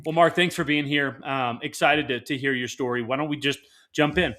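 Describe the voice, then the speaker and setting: A man's voice: on the high side, steady tone, brisk, sharp tone. A man hosting a podcast in a casual, conversational interview style, with a jovial, self-deprecating manner. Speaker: podcast host.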